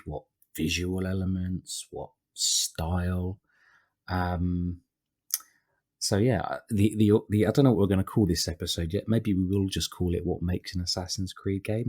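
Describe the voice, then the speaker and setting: British man, podcast host, very low voice, husky and croaky from a cold, rambling chat, laughs a lot. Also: a little mellow. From Britain. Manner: rambling, laughs often, many fillers.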